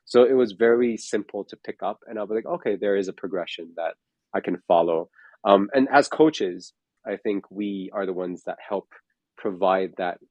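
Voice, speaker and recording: A man's voice: on the low side, normal speed, darkish tone, dry recording, highs cut off. A man in his forties, a fitness coach, talking in a casual chat, rambling with lots of fillers and restarts. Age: 40s.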